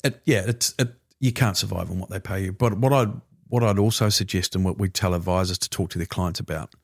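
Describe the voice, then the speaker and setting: A man in his fifties with a New Zealand accent and a lowish voice. He explains finances as an interview guest in a relaxed, conversational way, full of quick agreements and asides. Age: 50s. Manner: relaxed, chatty, frequent filler agreements. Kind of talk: casual interview chat.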